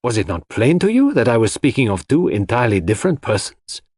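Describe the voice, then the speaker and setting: A man's voice: medium pitch, sing-song, a little fast, darkish tone, breathy edge. A man voicing a shrewd, self-assured foreign detective who explains in a theatrical, didactic way in an audiobook. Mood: teasing, patient. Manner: theatrical, didactic, sprinkles French phrases.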